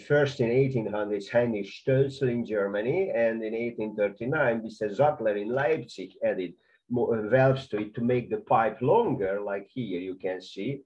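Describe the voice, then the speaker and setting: A middle-aged man with a Hungarian accent, a conductor giving an informal music history lecture from his home office, enthusiastic, with halting non-native phrasing. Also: lowish voice. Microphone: webcam at desk. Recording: dull lo-fi webcam audio.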